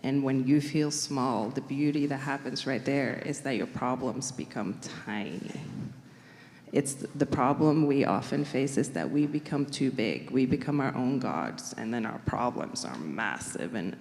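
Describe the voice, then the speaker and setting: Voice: mid-range voice, expressive, measured pace, a little mellow, long reverb tail, slight background noise. A pastor giving a conversational, reflective sermon in a church. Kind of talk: sermon with co-speaker banter. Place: church sanctuary.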